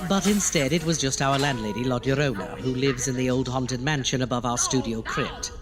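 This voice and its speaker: A man's voice: medium pitch, fairly quick, lightly acted. A man playing a theatrical, gleefully macabre ghoul doctor who hosts a campy horror audio show. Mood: theatrical glee. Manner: theatrical, exasperated, alliterative. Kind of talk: campy horror host introductions. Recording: reverberant hall with music behind.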